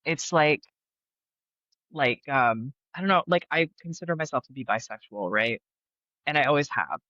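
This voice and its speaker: Chatty young man, bright tone. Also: fairly high pitch, lively intonation, normal speed, no room sound, lo-fi sound. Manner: chatty, laughing, many fillers. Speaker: podcast guest. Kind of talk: casual interview chat. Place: Brooklyn.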